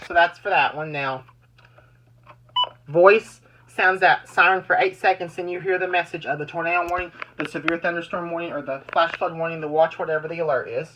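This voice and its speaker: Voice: mid-range voice, steady tone, a little fast, background noise. A person giving a casual, rambling show-and-tell demonstration, often repeating and restarting phrases. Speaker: amateur video maker demonstrating weather radios.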